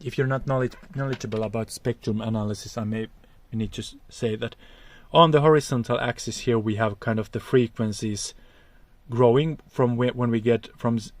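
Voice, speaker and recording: A man's voice: medium pitch, steady tone, moderate pace, dry recording. Finnish-accented man teaching voice acoustics. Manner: careful, hesitant, searching for words. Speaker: vocal technique teacher. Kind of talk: explanatory lesson on acoustics.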